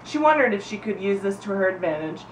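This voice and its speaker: A woman's voice: medium pitch, sing-song, fast. A woman reading a humorous story aloud to friends in a giggly, informal way, stumbling and breaking off to comment. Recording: echoey room, distant mic, background noise.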